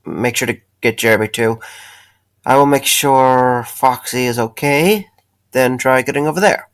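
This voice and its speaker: Man acting an easygoing, slow-talking young guy. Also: mid-range voice, lightly acted. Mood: casual. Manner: hesitant, casual. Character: easygoing, awkward young warehouse visitor, reluctant but friendly.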